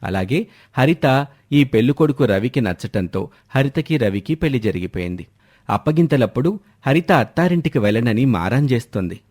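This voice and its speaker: A man's voice: mid-range voice, animated, moderate pace, a little mellow. A man narrating a scripted moral story as a storyteller.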